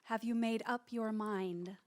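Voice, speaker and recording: Actress as a teasing, higher-voiced young woman. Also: fairly even intonation, unhurried, darkish tone, lightly acted. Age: young adult. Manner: playful, direct. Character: teasing, poised, forthright young small-town woman. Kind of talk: old-time radio drama acting.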